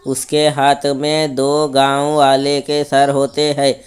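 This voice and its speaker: Male story narrator, monotone, very clean voice, slow, scripted. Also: mid-range voice, clean tone.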